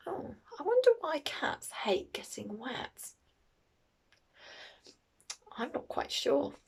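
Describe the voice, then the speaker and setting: A woman reading a picture book aloud to children in an expressive, teacherly, questioning way. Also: mid-range voice, vocal fry, husky.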